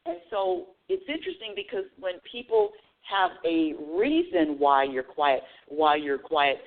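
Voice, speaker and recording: A woman's voice: low-pitched, expressive, unhurried. A woman hosting a spiritual call-in radio show, talking earnestly and often restarting her phrases. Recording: tinny phone-line audio.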